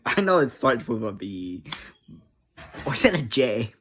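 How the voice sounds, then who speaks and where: An online creator chatting casually to viewers in a rambling, easily distracted way, energetic, giggly and self-deprecating. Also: medium pitch, a little slow, slight background noise.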